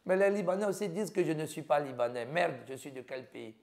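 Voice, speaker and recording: A man's voice: fairly high pitch, lively intonation, fast, creaky, darkish tone. A man, a doctor telling his own story in an interview, earnest and proud, often listing things one by one. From Côte d'Ivoire. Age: 50s. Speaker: physician interviewee. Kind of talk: personal interview testimony.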